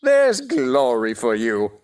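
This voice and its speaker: A woman's voice: extremely low pitch, wildly swooping pitch, slow pace, darkish tone, full character voice. A woman playing a pompous, touchy, argumentative talking egg in a stage-play style audio drama, with haughty, exaggerated emphasis. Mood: smug. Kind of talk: theatrical audio drama acting.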